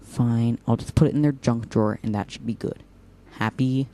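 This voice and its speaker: A man's voice: mid-range voice, steady tone, normal speed, warm tone, slight background noise, lightly acted. A man playing a whimsical, teasing young operative in an audio drama, talking in a playful, mock-dramatic way.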